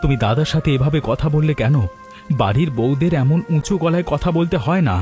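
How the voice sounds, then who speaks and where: A man's voice: a little high, normal speed, warm tone, faint music behind, natural voice. A man voicing a meek, hesitant village husband in a cartoon, sounding doubtful and mildly chiding. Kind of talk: Bengali village cartoon dubbing.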